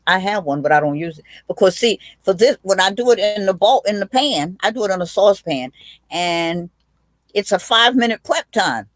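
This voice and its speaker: A woman's voice: mid-range voice, animated, moderate pace, dry recording. A woman, a witty, blunt guest telling stories in a casual video chat, often restarting her sentences. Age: 80s. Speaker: guest, aunt.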